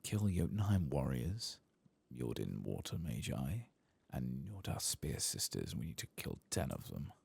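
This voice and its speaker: A man giving soft-spoken ASMR commentary over video game play, hushed and at times slipping into a whisper close to the mic. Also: extremely low pitch, big pitch swings, a little slow, creaky, husky. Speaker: host of a gameplay channel.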